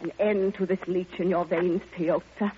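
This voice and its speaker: A woman's voice: on the low side, level tone, slight background noise, natural voice. An actress playing a lonely, grieving, devoted woman in a theatrical, impassioned old-time radio monologue. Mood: bitter, resolute. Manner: theatrical, hushed, impassioned, drawn-out phrasing. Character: grieving, lonely, devoted woman recalling her beloved friend. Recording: dull old radio recording, loud music.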